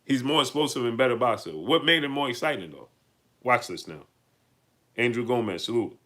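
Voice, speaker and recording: A man's voice: mid-range voice, animated, a little fast, bright, forceful tone. A man with a New York accent hosting a live stream, greeting viewers and reading out their super chats. He talks emphatically, repeats himself and builds to heated peaks. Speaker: livestream host.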